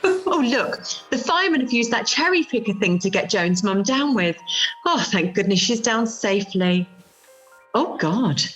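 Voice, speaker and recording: A woman's voice: fairly high pitch, animated, a little slow, poor audio. A woman acting a cheerful, gossipy, supportive middle-aged friend in an amateur radio play, exclaiming and chatting. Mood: alarmed, watching. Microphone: video call from home. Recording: home video-call recording with music.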